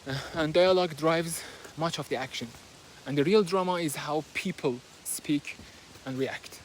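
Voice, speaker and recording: A man's voice: a little high, a little slow, slight background noise, poor audio. A man with a Persian accent, whose first language is Persian, talks casually while walking outdoors. He summarizes a book hesitantly, with many fillers and restarts, recorded on a simple phone. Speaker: book reviewer. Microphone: handheld phone, no fancy equipment.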